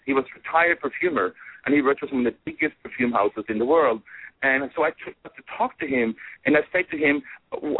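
Guy telling his story over the phone, lots of ums and restarts, kinda husky, dry phone sound. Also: mid-range voice, no room sound. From Norway. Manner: animated, many fillers and restarts. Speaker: guest, fragrance designer. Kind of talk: casual storytelling in an interview. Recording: tinny phone line.

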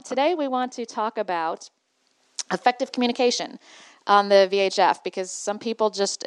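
Smooth, clear-voiced woman hosting a podcast. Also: medium pitch, dry recording. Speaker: podcast host.

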